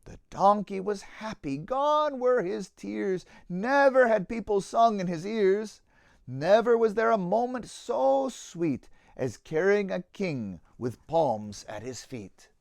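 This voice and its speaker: A man, a pastor, reading a children's storybook aloud in an expressive, theatrical way, with a rhythmic delivery of verse. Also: high-pitched, slow pace, vocal fry, dry recording, clean studio sound.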